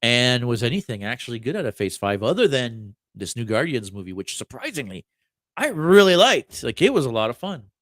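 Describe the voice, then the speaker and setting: A man's voice: mid-range voice, sing-song, a little fast, dry recording. A man co-hosting a podcast with a stuffed-up nose, bantering in an easygoing, friendly way. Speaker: podcast co-host. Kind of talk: casual chat.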